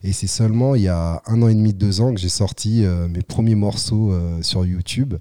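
A man from Congo, a guest artist in a casual podcast interview, speaking in a measured, reflective way with frequent pauses. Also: lowish voice, dark tone. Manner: measured, reflective, pausing often.

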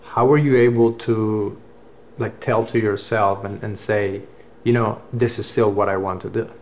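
A man's voice: on the low side, steady tone, moderate pace, a little mellow, slight background noise. Brief: man from Cuba, upbeat interview host, chatty storyteller. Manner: enthusiastic, storytelling. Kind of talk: casual interview chat.

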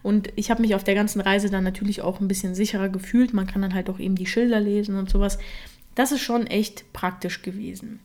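A woman's voice: mid-range voice, steady tone, fairly quick, a little mellow. A young woman hosting her own podcast, who grew up in Germany with Russian as her first language, talking casually with many fillers. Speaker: podcast host. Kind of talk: casual solo podcast talk.

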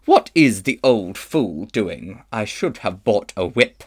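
A man's voice: medium pitch, wildly swooping pitch, unhurried, vocal fry, heavily acted. A man voicing an imperious, menacing witch queen in a storybook, commanding and haughty. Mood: impatient, scornful. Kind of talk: audiobook character acting.

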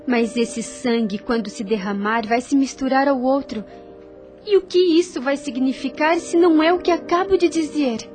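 An actress playing a compassionate, grave young plantation lady in a radio drama, earnest and measured, with a Brazilian accent. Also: high-pitched, a little mellow, close to an everyday voice. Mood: grave, persuasive. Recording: music under the voice.

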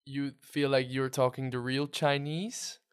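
A man's voice: medium pitch, flat delivery, a little slow, clear, resonant tone. Curious male podcast host. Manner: curious, asks short follow-up questions. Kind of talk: casual interview.

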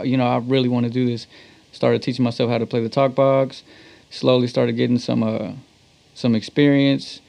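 A man's voice: mid-range voice, a little mellow. Enthusiastic American man, slangy talker. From Texas, United States. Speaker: guest, musician. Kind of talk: casual interview chat.

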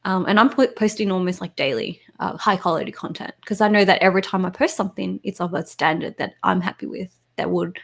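A woman's voice: lowish voice, quick pace, creaky, few pauses, a bit bright. A woman giving casual, candid answers as an interview guest, correcting herself as she goes. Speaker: interview guest, online content creator.

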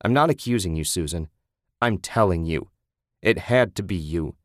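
An angry husband barking accusations at his wife, as a male narrator puts him on. His voice is low and his delivery curt and bitter. The recording is dry and close, with no room around it. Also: expressive, moderate pace, no room sound. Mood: accusing. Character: hot-tempered, accusing husband obsessed with his car.

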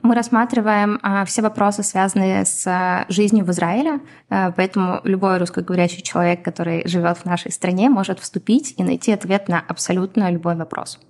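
A woman from Ukraine, a podcast guest, answering questions in a measured, explanatory way with frequent pauses and fillers. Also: medium pitch, steady tone, a little mellow. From Donetsk, Ukraine. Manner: measured, explanatory, many hesitations. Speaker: guest, community founder.

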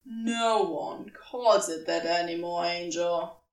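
A dry, cool male character performed by a woman, drawling his words very slowly and archly. He teases with restraint, as a figure in a narrated story, a little off the mic. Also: lowish voice, distant mic, slight background noise, voice pushed down, rough recording. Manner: arch, drawling. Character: dry, teasing, cool male companion of an angel.

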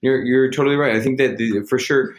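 A man's voice: medium pitch, steady tone, brisk. Rambling, self-searching man in casual chat. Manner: loud at times.

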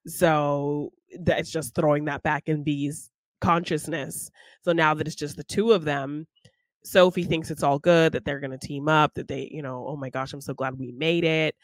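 A woman's voice: low voice, steady tone, normal speed, no room sound. Woman podcaster, casual storytelling, dry close sound. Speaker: podcast co-host. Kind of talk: casual film discussion, retelling a plot.

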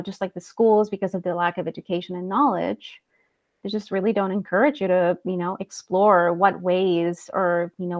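Candid woman, lowish voice, close dry sound. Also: no echo. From El Salvador. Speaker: mental health therapist. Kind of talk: casual personal storytelling.

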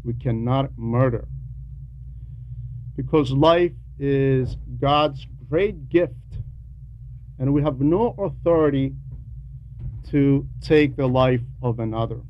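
Slow-speaking man preaching, muffled noisy recording. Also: medium pitch. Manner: deliberate, emphatic repetition, explanatory. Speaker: preacher reading a translated homily. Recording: dull lo-fi sound with background noise.

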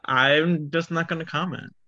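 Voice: mid-range voice, steady tone, moderate pace, sharp tone, muffled recording. A podcast host in casual chat, laughing often and rambling as they correct themselves.

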